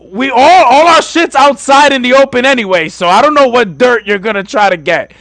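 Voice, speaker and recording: A man's voice: extremely high pitch, brisk, a bit bright, no echo, poor audio. A man co-hosting a podcast, talking in a rowdy, joking way and swearing a lot. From Puerto Rico. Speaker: podcast co-host. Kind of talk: casual profane banter.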